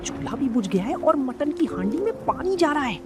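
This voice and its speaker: A woman voicing an eager, obedient young village man, polite and earnest, in an animated story. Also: high voice, brisk, background noise. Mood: alarmed. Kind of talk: Hindi animated story dialogue.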